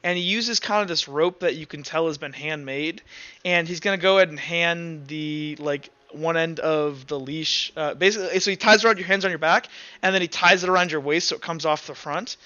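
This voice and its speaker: A man's voice: fairly high pitch, normal speed, bright, forceful tone, dull audio. A man acting as game master, casually improvising narration for a tabletop roleplaying game.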